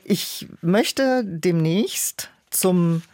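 A woman's voice: lowish voice, lively intonation, drawn-out words, a little mellow, dry recording. A woman speaking as an interview guest, explaining things in a considered way about her work as a producer. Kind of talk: interview conversation.

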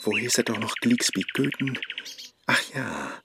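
An actor playing a clumsy, lovestruck male scholar who chases a woman, speaking with a Low German accent in a bumbling, eager, theatrical way, in a radio play. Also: very animated, unhurried, noisy background. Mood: flustered.

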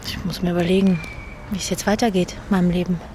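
A woman's voice: on the low side, level tone, quick pace, close to an everyday voice. An actress playing a young woman, an old flame, hesitant and understated but teasing, in a naturalistic radio drama. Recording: clearly noisy ambience behind.

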